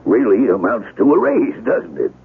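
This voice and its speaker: An actor playing a stingy, overbearing boss in a radio drama, blustery and patronizing as he smooth-talks his way along. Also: mid-range voice, wildly swooping pitch, moderate pace. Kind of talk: 1970s radio drama acting. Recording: noisy, tinny old radio broadcast.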